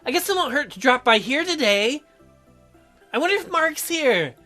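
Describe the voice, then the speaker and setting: Man acting a teenage girl game heroine, high-pitched, swooping intonation, earnest, curious, exaggerated. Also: very animated, moderate pace, bright, forceful tone, soft background music, exaggerated voice. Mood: excited. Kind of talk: dating sim dialogue read aloud.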